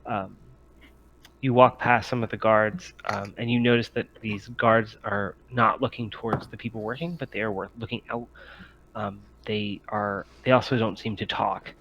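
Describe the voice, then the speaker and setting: A man narrating a tabletop game as game master, in a halting, hesitant way with many pauses. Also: fairly low pitch, steady tone, a bit bright, highs cut off, a little hiss or hum.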